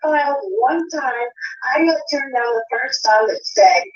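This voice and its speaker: A woman's voice: high-pitched, unhurried, roomy sound, dull audio. A woman arguing combatively and swearing, heard in played-back audio with altered speed. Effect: altered playback speed. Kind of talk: heated casual argument.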